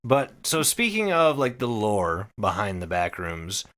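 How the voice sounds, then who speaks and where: A man's voice: lowish voice, sing-song, a little slow, fairly bright tone. A man co-hosting a podcast, telling stories casually in an emphatic way with plenty of swearing. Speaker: podcast co-host.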